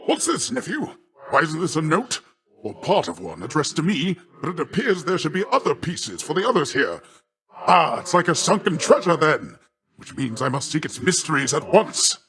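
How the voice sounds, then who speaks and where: Grandiloquent, hearty sea god uncle, higher-pitched. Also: male voice, sing-song, moderate pace, fairly bright tone. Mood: expansive, amused. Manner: grandiloquent, hearty, old-fashioned phrasing. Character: boisterous, boastful sea god, a jovial doting uncle. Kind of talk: theatrical video game character voice acting.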